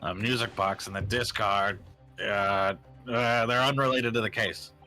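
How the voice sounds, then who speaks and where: Man doing a defensive inspector, sharp low voice. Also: steady tone, a little slow, faint music behind, poor audio. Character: defensive, blustering male police inspector.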